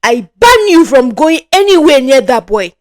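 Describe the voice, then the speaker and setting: A woman's voice: high-pitched, big pitch swings, moderate pace, no room sound, clean studio sound, voice pushed up. Woman acting out a stern, domineering rich father. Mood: livid. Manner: commanding, scolding. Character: stern, domineering wealthy father, older man, quick to rage.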